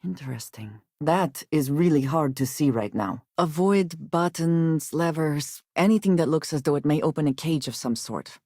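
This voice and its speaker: An actress voicing a guarded, earnest young woman space explorer in a video game, speaking in formal, measured phrases with few contractions. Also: low-pitched, mellow, no echo, clean studio sound. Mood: measured, curious.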